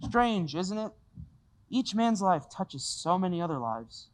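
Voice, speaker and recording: Casting: performer as a calm, wise guardian angel, lively melodic pitch. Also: measured pace, slight background noise. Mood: gentle. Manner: calm, gently instructive.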